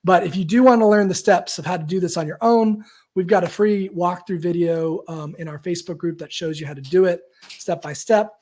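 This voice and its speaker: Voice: medium pitch, fairly even intonation, brisk, a little mellow. A coach hosting a live video coaching session who talks in a chatty, rambling way, full of fillers and self-corrections. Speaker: coach and host. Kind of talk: live-stream coaching talk.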